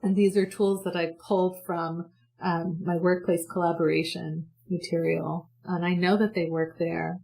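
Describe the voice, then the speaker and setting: A woman's voice: on the low side, flat delivery, normal speed, vocal fry, mellow, poor audio. A woman guest in an interview, talking earnestly and hopefully about communication in a chatty way with many restarts. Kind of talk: conversational interview.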